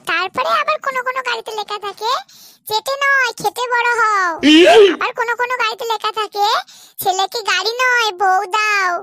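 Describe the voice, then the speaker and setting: A performer voicing a confident young school pupil in a comedy cartoon, earnestly reciting answers in a squeaky voice. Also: pushed and bright, full character voice.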